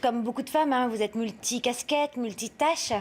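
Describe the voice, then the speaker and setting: A woman's voice: fairly high pitch, normal speed, crowd noise. A woman hosting a talk show, asking guests questions and moving the conversation from one topic to the next. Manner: leading questions, smooth transitions.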